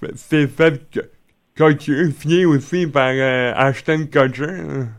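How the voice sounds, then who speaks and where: A man with a Québécois accent, a panelist on a comedy debate, stammering slowly. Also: fairly high pitch, fairly even intonation. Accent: Québécois French.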